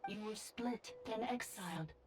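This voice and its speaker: A woman's voice: medium pitch, unhurried, natural voice. A woman voicing a cold, detached ancient machine that makes formal announcements in a video game. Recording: loud music behind the voice.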